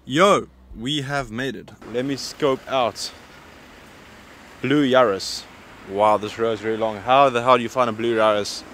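A man's voice: medium pitch, a little slow. A man in his thirties with a South African accent, chatting casually as a photographer vlogging while he walks. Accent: South African English. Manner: relaxed, chatty, walking while filming. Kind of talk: casual vlog chat. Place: busy city street outdoors. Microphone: handheld camera at arm's length. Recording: loud street traffic noise.

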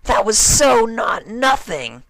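A man plays a brash young female cartoon pony with wings. The voice jumps high and loud, bright and forceful. She is excitable and gushes in exclamations, as in a storybook reading. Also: slow, creaky, no room sound, full character voice, poor audio. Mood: excited, awed. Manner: gushing, exclamatory.

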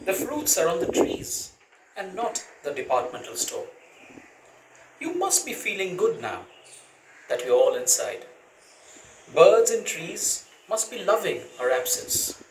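Middle-aged man, lively slow letter reading, echoey room from far off, faint noise. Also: sits high. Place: indoor room with bare wall. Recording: roomy, distant camera with faint noise.

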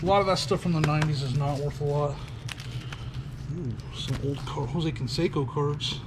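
A man's voice: on the high side, normal speed. A man in his fifties giving casual, upbeat commentary while he digs through boxes in a metal storage unit. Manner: chatty, upbeat, thinking aloud while sorting items. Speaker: storage locker reseller. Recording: loud background noise.

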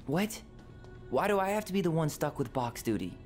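A man's voice: on the high side, sing-song, normal speed, soft background music. Wry, guarded young American man. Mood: wry, grumbling. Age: young adult. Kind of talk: video game dialogue acting.

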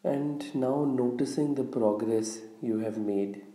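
A man with a very soft, dark voice leads a guided meditation. He speaks slowly and deliberately, leaving long pauses between phrases. The sound is roomy, with a noticeable echo. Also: mid-range voice. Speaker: guided visualization leader. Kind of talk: guided meditation, slow instructional narration. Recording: roomy, echoing room sound.

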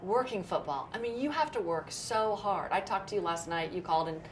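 A woman's voice: mid-range voice, expressive, a little fast. Lady interviewer on local tv, upbeat, some background hiss. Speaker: TV interview host.

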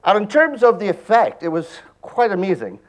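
Irish guy doing a conference talk, kinda high voice, wry jokes, big hall. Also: huge pitch swings, normal speed. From Ireland. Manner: joking, wry asides. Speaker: conference speaker. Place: large presentation hall.

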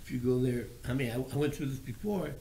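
An older man talks informally as a board member at a public meeting. His voice is creaky and gravelly. He is picked up by a table microphone with faint noise behind him. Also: mid-range voice, quick pace, mellow. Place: indoor municipal meeting room. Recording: faintly noisy meeting room recording.

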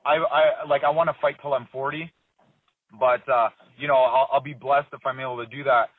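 A man in his thirties, a fighter being interviewed, talking in a relaxed, rambling way full of fillers and restarts. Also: mid-range voice, moderate pace, husky, no echo. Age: 30s. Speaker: MMA fighter, interview guest. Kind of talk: casual phone interview. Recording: dry tinny phone line.

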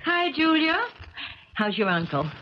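Frank, animated friend. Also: female voice, high-pitched, a little slow. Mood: breezy. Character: frank, practical woman, skeptical friend. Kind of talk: 1970s radio drama acting. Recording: crackly, dull 1970s radio recording.

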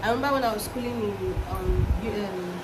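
A woman's voice: mid-range voice, a little fast. A young woman with a Nigerian accent, hosting a casual chat and laughing easily, sometimes slipping into pidgin phrasing. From Delta State, Nigeria. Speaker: host. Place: indoor room, seated before curtains. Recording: room with air conditioner hum.

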